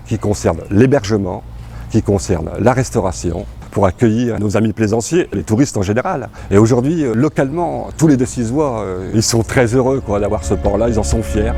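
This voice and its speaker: A man speaking in a measured, proud way in an interview. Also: on the low side, warm tone, slight background noise. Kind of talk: interview statement.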